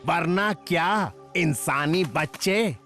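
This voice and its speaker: A man's voice: on the high side, lively intonation, slow pace, creaky, loud music behind the voice, heavily acted. A man voicing an arrogant, menacing male tiger villain in a cartoon, threatening and taunting. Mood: taunting menace. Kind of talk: animated cartoon dubbing.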